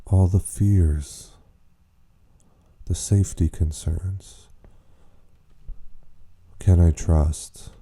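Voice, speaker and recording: A man leading a guided meditation, speaking in an unhurried, gently questioning way with long pauses. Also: low-pitched, steady tone, breathy edge. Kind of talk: slow spoken guided meditation.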